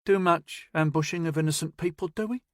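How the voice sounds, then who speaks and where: A man voicing a sly, cowardly man who babbles in a high, strained voice. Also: brisk, no echo, clean studio sound, lightly acted. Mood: smug. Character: sly, cowardly man, wheedling then smug.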